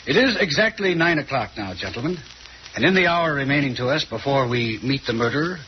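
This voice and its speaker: An actor playing a self-assured, courteous gentleman detective in an old radio mystery, with pompous, precise formality. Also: medium pitch, expressive, fairly quick, lightly acted. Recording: muffled, hissy old radio recording.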